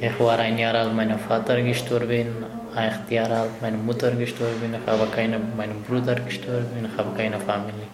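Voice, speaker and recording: A young man from Afghanistan with an Afghan accent, answering interview questions in halting, broken German. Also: mid-range voice, completely flat delivery, a loud crowd. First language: language of Afghanistan. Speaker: interviewee, asylum seeker and runner. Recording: echoey room with clear background noise.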